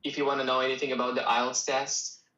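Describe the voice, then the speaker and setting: A man whose first language is Russian, teaching English in a live-stream Q&A. He talks straight to his viewers in a relaxed, encouraging way, with a bright, forward tone. The room around him sounds echoey. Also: medium pitch, quick pace.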